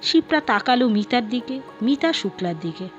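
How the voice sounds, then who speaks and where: A woman's voice: fairly high pitch, animated, moderate pace. A woman narrating a scripted story and acting out its dialogue. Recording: dull lo-fi sound with music behind. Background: background music.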